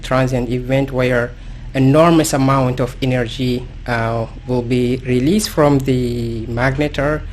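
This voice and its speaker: A man with a Sudanese accent speaks slowly in a medium-pitched voice, searching for words as he goes. He sounds like a guest expert explaining things without a script, hesitant and halting, over some light background noise. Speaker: guest astrophysicist. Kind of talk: unscripted self-introduction, explanatory talk.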